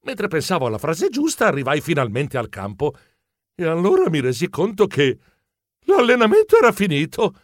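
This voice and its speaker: A man playing an excitable, bumbling, polite mouse journalist who tells his own adventure as theatrical children's storybook narration. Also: a little high, moderate pace, no echo, clean studio sound. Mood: eager, flustered. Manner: theatrical, expressive, comic exclamations.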